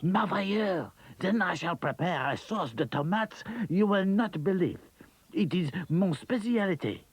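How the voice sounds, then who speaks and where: A man's voice: high voice, animated, unhurried, slightly rough, dry recording, voice pushed up, exaggerated voice. A man voicing a fussy male toy chef with a French accent, refined in manner.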